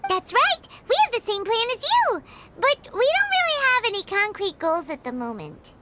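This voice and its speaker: A woman voicing a small, chatty, excitable fairy companion with a girlish, exclamatory manner in a video game. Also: very high-pitched, moderate pace, heavy background noise, heavily acted. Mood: cheerful. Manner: exclamatory, speaks of herself in third person. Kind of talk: video game cutscene acting.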